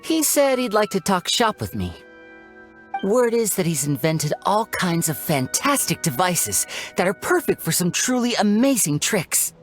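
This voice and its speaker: A woman's voice: mid-range voice, sing-song, measured pace. A woman playing a playful young stage magician in a video game, charming, teasing and showy in delivery. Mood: breezy, playful. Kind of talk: scripted video game character dialogue. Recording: music behind the voice.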